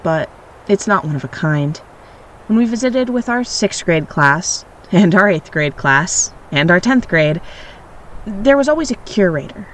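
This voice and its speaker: A woman's voice: on the low side, lightly acted, poor audio. Reflective woman acting as storyteller over background noise. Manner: measured, reflective. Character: wry, reflective storyteller recalling childhood mischief. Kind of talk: scripted audio drama narration.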